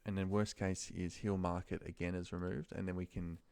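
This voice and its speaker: Male, low and mellow, offhand self-talk for an informal screen walkthrough. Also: flat delivery, fairly quick, vocal fry, no room sound. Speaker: office worker recording a spreadsheet walkthrough. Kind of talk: informal screen-recording walkthrough, thinking aloud.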